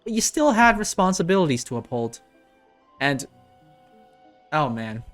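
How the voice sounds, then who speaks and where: A woman's voice: low-pitched, very animated, a little slow, soft background music. A woman reacting to a game in a casual, slangy, exclamatory way. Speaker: reaction video host.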